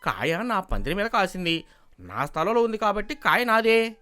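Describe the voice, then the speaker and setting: A man playing a quarrelsome village farmer pleading his claim in an animated storybook tale. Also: high-pitched, moderate pace, clean tone, exaggerated voice. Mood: insistent.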